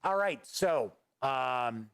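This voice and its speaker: A man's voice: mid-range voice, lively intonation, very slow, vocal fry, a bit bright, smooth, dry recording, clean studio sound. Rambling man hosting a livestream. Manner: rambling, reads viewer chat aloud and responds offhandedly. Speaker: host of a gaming news livestream. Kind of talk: casual livestream chat. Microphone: studio microphone at a desk.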